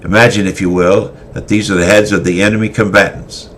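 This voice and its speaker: A man's voice: lowish voice, level tone, a little fast, fairly bright tone, a little hiss or hum, voice pushed up, natural voice. A man voicing a cold, callous, battle-hardened male swordmaster with a commanding manner. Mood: stern, commanding. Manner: commanding, stern.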